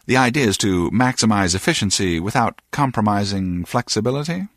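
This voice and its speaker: A man's voice: fairly low pitch, animated, fairly quick, no echo, studio quality. A man playing an earnest, pedantic rocket scientist in a video game, formal and a little self-satisfied.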